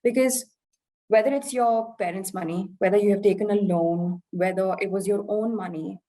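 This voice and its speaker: A woman in her twenties from India, with an Indian English accent softened by life in the UK, speaking earnestly and reflectively as an interview guest, with fillers and restarts. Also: mid-range voice, steady tone, normal speed, low-quality recording. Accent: Indian English, softened by years in the UK. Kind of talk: candid conversational interview.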